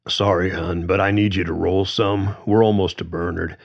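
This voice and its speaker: A man's voice: sits low, steady tone, normal speed, vocal fry, muffled recording. A man voicing a middle-aged father who talks in a plain, protective way.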